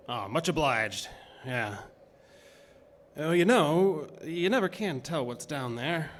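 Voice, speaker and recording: Jittery, stammering geologist. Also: male voice, fairly high pitch, animated, slow. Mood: distracted. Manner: hesitant, stammering, repeats words. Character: skinny, jittery, weary male oil-field geologist. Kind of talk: horror audio drama dialogue. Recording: noisy track with ambient sound.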